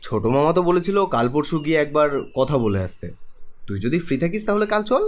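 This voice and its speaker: A man's voice: on the high side, sing-song, fast. A man voicing a breezy, teasing young best friend in a cartoon, with a West Bengal Bengali accent. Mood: practical. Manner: breezy, colloquial. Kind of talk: animated cartoon dialogue.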